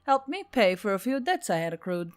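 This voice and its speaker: A woman's voice: mid-range voice, very animated, fairly quick, clear, resonant tone, no echo, studio quality, soft background music, natural voice. A woman voicing a cheerful, adventurous female centaur in a storybook, chummy and boastful. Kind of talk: storybook character dialogue.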